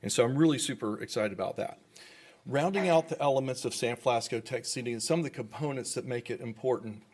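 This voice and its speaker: A man's voice: mid-range voice, quick pace, darkish tone. A man speaking as a developer at public comment in a government meeting, enthusiastic and rambling with repeated words.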